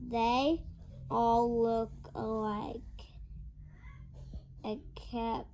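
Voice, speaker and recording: A young girl reads a picture book aloud, very slowly and word by word. Her voice is fairly high, with faint noise and clicks in the recording. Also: flat delivery, dark tone, low-quality recording. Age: child. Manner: halting, word-by-word early-reader delivery. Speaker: young reader.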